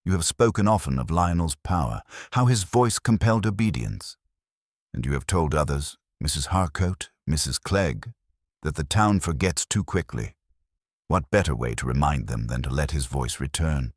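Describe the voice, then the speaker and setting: A man voicing a mild, shrewd police inspector in a mystery story, soft-spoken and measured with an edge of finality. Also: a bass voice, lively intonation, no echo, clean studio sound. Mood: quietly probing.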